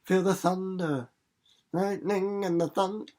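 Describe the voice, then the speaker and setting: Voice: mid-range voice, fairly even intonation, slow, warm tone. A person giving casual read-aloud commentary for students as a teacher, rambling and informal, playfully repeating words and acting out examples. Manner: rambling, theatrical, with acted-out examples and sound effects. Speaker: reader and literature teacher hosting a read-aloud video series.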